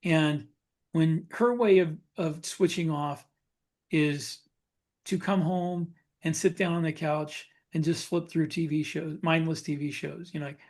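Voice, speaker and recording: A man's voice: fairly high pitch, steady tone, unhurried, a little mellow. A man talking casually as a podcast host, informal and given to repeating points for emphasis.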